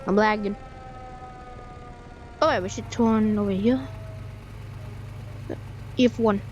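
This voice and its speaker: A woman giving excitable live gameplay commentary, with frequent short repeated exclamations. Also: medium pitch, takes it slowly, dull audio, a little hiss or hum. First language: Thai. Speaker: gamer playing a storm-chasing game.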